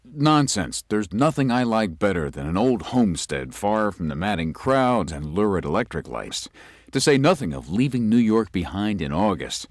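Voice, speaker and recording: A man voicing a young, composed city lawyer who narrates his own ghost story in a measured, slightly formal way. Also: fairly low pitch, very animated, no room sound, studio quality. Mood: breezy. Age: young adult. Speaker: narrator and lead. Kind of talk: first-person audio drama narration.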